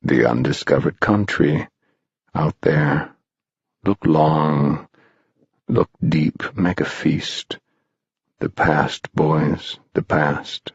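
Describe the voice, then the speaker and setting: A man voicing a gaunt, ominous old male spirit of death in a storybook, slow, brooding and theatrical. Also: extremely low pitch, gravelly, slightly rough, dry recording, highs cut off, voice pushed down, exaggerated voice. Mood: ominous, urging.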